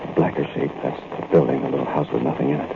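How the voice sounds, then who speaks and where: A very deep-voiced, uneasy thief tells of a night break-in in the confiding tone of old radio drama. The sound is thin and boxed-in, with steady hiss underneath. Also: male voice, fast talker, vocal fry, exaggerated voice. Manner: tense, confiding first-person storytelling. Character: nervous male thief narrating a night break-in. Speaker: narrator and lead character. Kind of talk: 1940s radio drama narration. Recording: tinny, noisy old radio recording.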